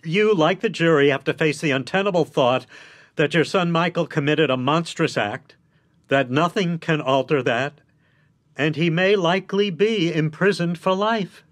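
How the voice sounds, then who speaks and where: An actor playing a shrewd, blunt defence lawyer in a radio drama, lecturing in an emphatic, articulate way. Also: male voice, a little high, normal speed, close to an everyday voice. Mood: blunt, firm.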